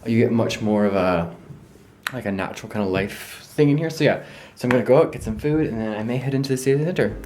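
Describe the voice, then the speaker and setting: A man's voice: medium pitch, quick pace. Rambling young Canadian man vlogging. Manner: rambling, self-correcting, thinks aloud. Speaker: travel vlogger. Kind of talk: casual travel vlog. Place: outdoors in a park and indoors at an apartment.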